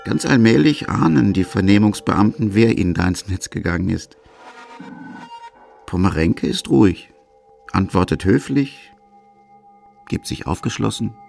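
A man reads a scripted radio feature as narrator. His voice is low and heavily gravelly, and he delivers short sentences over faint music. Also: expressive, unhurried, pauses often, a little mellow. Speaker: documentary narrator.

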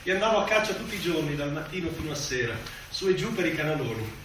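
A grandiose boss delivering a theatrical monologue. His high voice swings widely between hushed and booming declamation. He sounds far off in a very echoey space. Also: sing-song, quick pace. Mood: solemn, storytelling. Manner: declamatory, dramatic swells and drops. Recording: echoey noisy stage, far from microphone.

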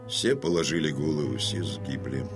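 An actor playing a stern, proud old Cossack chieftain in a radio drama, declaiming mournfully, moving between hushed and loud delivery. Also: male voice, very deep voice, normal speed, music in the background. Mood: bitter mourning. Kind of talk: theatrical radio drama monologue.

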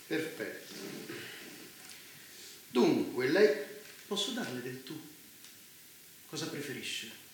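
A man's voice: mid-range voice, sing-song, slow, long pauses. An actor playing an urbane, evasive man of power behind a mass killing, polite yet domineering in a theatre dialogue. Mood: courteous, controlling. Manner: polite but domineering, precise, abruptly probing. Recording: very echoey room, faint noise.

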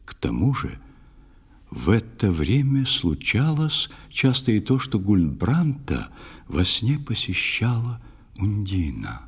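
Very deep, slow, husky male storyteller. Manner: solemn, measured, expressive. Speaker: narrator of a children's musical audio play. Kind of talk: dramatic recitation of a poetic tale. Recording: long hall reverb, music behind.

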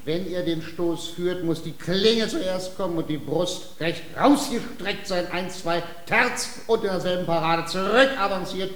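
An actor playing a swaggering, quarrelsome fencing master in a German radio comedy, declaiming pompously. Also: male voice, high voice, measured pace, few pauses, fairly bright tone. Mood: commanding. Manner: pompous, declamatory, barking drill commands. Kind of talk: German radio play acting, classical comedy. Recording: roomy, echoing radio drama with faint noise.

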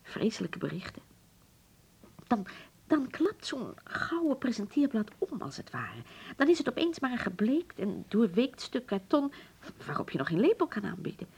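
An actress playing a nostalgic, genteel middle-aged hotel proprietress in a Dutch radio drama, speaking formally and with stately dramatic pauses. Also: female voice, fairly high pitch, very animated, a little mellow, slightly rough, heavily acted. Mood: grave, dramatic.

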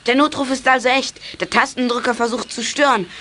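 A woman playing a quick-thinking child in a CB radio club, in a German children's radio play. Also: a little high, steady tone, a bit bright, slight background noise, natural voice. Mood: urgent.

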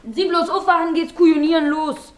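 An actress playing a brash, defiant young servant maid in a radio drama, earthy and pert, with a Silesian accent. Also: female voice, extremely high pitch, flat delivery. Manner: pert, earthy, quick to answer back. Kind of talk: naturalistic German radio drama acting.

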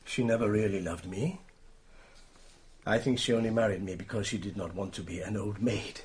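An actor playing a gentle, sorrowful doctor and devoted husband in a radio drama, formal and subdued. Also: male voice, fairly low pitch, steady tone, moderate pace, lightly acted.

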